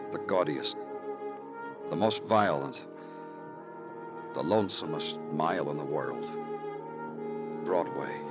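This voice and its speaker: An actor playing a calm, probing city police detective who also narrates, in 1950s radio drama style. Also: male voice, a bass voice, heavily acted.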